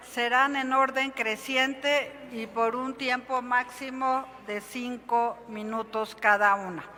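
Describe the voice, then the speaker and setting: A woman chairs a formal session, reading announcements slowly and deliberately. Her voice is bright and a little tense, and it rings out through a large legislative chamber with a long reverb. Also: a little high. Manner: measured, official reading. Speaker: presiding officer of the senate session.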